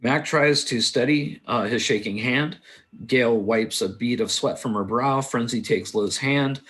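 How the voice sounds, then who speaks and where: A man narrating stage directions at a film script table read, halting and sometimes stumbling over his words. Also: mid-range voice, fairly bright tone. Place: indoors on a remote internet call.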